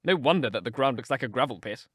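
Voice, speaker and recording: Guy reading a detective in an audiobook, crisp n firm, totally composed, dry sound. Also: medium pitch, expressive, fast talker, fairly bright tone, no room sound. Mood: matter-of-fact. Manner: crisp, assured.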